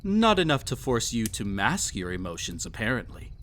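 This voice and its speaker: A man's voice: mid-range voice, big pitch swings, normal speed, a bit bright. A man playing a cruel, possessive, vain emperor toying with a captive in an intimate audio roleplay, with haughty, teasing, theatrical phrasing. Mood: menacing, teasing.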